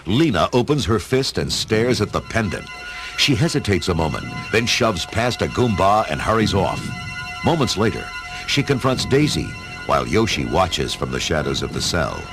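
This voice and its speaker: A male narrator tells a scripted adventure story in a fairly low voice. His delivery is dramatic and very lively in intonation, with music clearly playing behind him. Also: unhurried. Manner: expressive storytelling.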